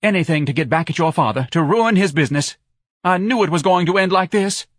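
Audiobook role: man playing overwrought, self-pitying wife, raised high voice, theatrical wail. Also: lively intonation, brisk, slightly rough, no room sound, clean studio sound. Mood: bitter. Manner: shrill, sobbing, melodramatic wailing. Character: hysterical, self-pitying, overdramatic middle-aged wife. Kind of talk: audiobook character voice.

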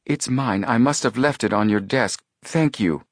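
A man puts on a nervous teenage girl detective from a storybook mystery. The voice is lifted in pitch, polite and anxious. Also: normal speed, no echo, clean studio sound, lightly acted. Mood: alarmed.

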